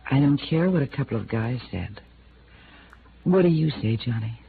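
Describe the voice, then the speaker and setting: Thin, noisy old radio sound carries a young noir widow. She is evasive and coaxing, her pitch swinging widely as she presses her point. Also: lively intonation, moderate pace, gravelly, exaggerated voice. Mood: coy, coaxing. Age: young adult. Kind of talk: 1950s radio drama acting. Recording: narrow vintage radio sound with noise.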